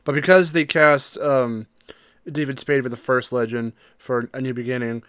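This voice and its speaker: A man co-hosting a casual podcast discussion, talking in a halting, reflective way and hedging his opinions. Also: medium pitch, steady tone, fairly bright tone, clear, resonant tone, no room sound, clean studio sound. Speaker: podcast co-host.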